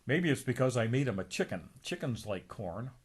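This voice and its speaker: A man's voice: mid-range voice, big pitch swings, husky. Man playing a self-assured hypnotist professor. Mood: matter-of-fact. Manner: commanding, deliberate.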